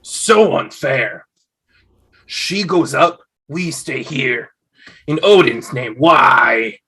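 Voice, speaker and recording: A man playing a gruff, mournful Viking ghost in an amateur table read, speaking in blunt declarations. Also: medium pitch, lively intonation, drawn-out words, pushed and bright, full character voice. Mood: aggrieved. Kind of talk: amateur table read over video call. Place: remote video-call table read.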